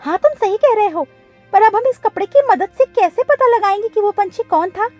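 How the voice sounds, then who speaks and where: A woman's voice: extremely high pitch, lively intonation, normal speed, darkish tone, soft background music, voice pushed up. A woman voicing a small, protective female bird in a cartoon story, exclaiming emphatically. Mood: puzzled.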